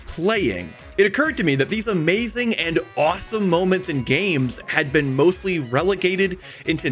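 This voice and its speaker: A man with a fairly high, very animated voice narrates a scripted video essay. He is emphatic and wry, pausing dramatically for effect. Music plays softly behind him. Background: background music.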